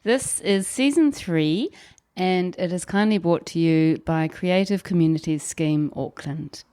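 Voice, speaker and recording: A New woman hosts a podcast in a slightly low voice. She reads her intro from a script, then settles into relaxed, encouraging conversation with her guest. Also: a little slow. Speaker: podcast host. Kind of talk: scripted intro then casual interview chat.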